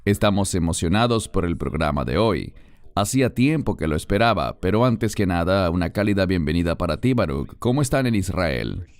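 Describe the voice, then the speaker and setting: A man hosts an interview show with a lowish voice that moves a lot in pitch. He asks measured, courteous questions. The sound is dry and close, with no room around it. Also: expressive, clean tone, no room sound. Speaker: interviewer and program host. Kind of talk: scripted host introduction and interview questions.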